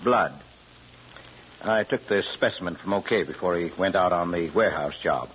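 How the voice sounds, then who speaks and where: Muffled old radio, guy playing a genial evasive professor, deepish voice, polite and precise. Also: moderate pace, natural voice. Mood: amused, evasive. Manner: courteous, hesitant, chuckling, precise diction. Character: genial, evasive, educated male professor among crooks. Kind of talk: 1930s-40s radio drama acting. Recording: dull, lightly noisy old radio recording.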